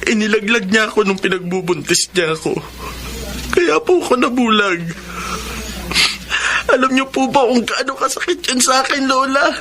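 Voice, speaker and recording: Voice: medium pitch, moderate pace, sharp tone, a little hiss or hum, natural voice. An actor playing a hurt, bitter blind young son in a Tagalog radio drama, with accusing, tearful delivery over music. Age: child. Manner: accusing, tearful, impassioned outbursts.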